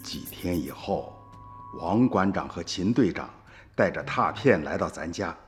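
Expressive old peasant grandfather. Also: low-pitched, a little slow. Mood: recounting. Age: older. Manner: earthy, expressive, colloquial. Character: emotional old peasant grandfather recounting his past. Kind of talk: Chinese radio drama acting and storytelling. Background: music.

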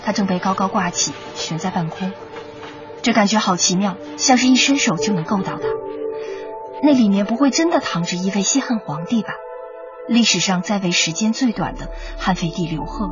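A woman's voice: mid-range voice, normal speed. A woman narrating a radio documentary in a dramatic, scripted style. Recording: slightly muffled, music underneath.

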